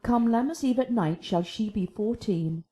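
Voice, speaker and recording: An actress playing a doting, earthy older nursemaid in a Shakespearean radio drama. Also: female voice, a little high, expressive, normal speed, mellow, lightly acted. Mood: fond, excited. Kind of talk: classical Shakespearean radio acting.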